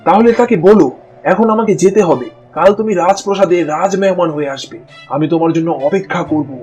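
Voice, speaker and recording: A man's voice: high-pitched, brisk, darkish tone, soft background music, close to an everyday voice. A man voicing a smitten young prince in a fairy-tale cartoon. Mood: earnest, wooing.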